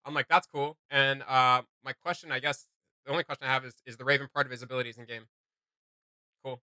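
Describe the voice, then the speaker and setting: A man's voice: medium pitch, steady tone, fast talker, pushed and bright, clean tone, no room sound. A man hosting a reaction video, giving casual, enthusiastic and rambling commentary.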